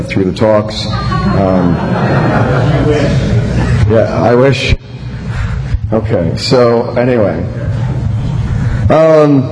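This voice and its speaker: Male conference speaker, mid-pitched strained voice, rambling and wry, loud background noise in the room. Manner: chatty, joking, many fillers and restarts. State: losing his voice. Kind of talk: casual live tech talk. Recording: noisy live room recording.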